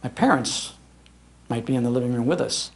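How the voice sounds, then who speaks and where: An older American man with a Midwestern accent tells nostalgic stories to a live audience in a folksy, animated manner, repeating phrases for emphasis. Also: medium pitch, fairly quick. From Chicago, United States. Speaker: speaker giving a talk on old-time radio. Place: public library, live talk.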